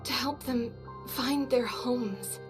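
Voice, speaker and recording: High-voiced, gentle, devout young woman. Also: fairly even intonation, takes it slowly, music in the background. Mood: solemn, wistful. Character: gentle, devout young woman warrior who prays for the dead. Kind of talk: video game voice acting.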